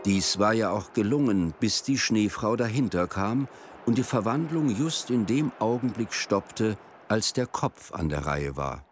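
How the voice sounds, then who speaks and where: A man narrating a folk tale for a radio drama in a measured, literary storytelling delivery. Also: fairly low pitch, animated. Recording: music behind the voice. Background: music.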